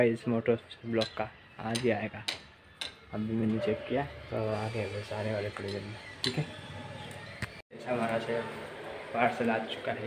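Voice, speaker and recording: A young man chatting casually to his phone as a vlogger, in a handheld recording. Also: on the low side. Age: young adult. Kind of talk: casual vlog chat to camera. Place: at home, moving between rooms, rooftop and yard. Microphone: handheld phone at arm's length. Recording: noisy handheld recording with ambient sound.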